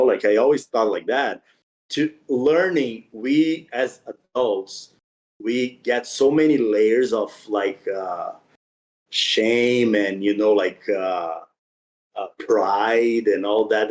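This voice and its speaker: A man's voice: on the low side, slow pace. A man whose first language is Portuguese, talking earnestly as a podcast guest, with some non-native phrasing. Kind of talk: casual interview talk.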